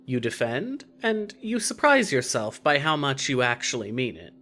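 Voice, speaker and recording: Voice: mid-range voice, very animated, normal speed, a bit bright, faint music behind. A narrator reading scripted second-person fiction for an audio story.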